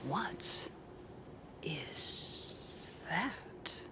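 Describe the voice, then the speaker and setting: A performer playing an obedient gang underling in an audio roleplay, speaking in a hushed, breathy, deferential way. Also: medium pitch, big pitch swings, very slow, a little hiss or hum, exaggerated voice. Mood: hushed deference. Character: obedient, soft-spoken gang henchman reporting to the boss. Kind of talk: audio roleplay drama acting.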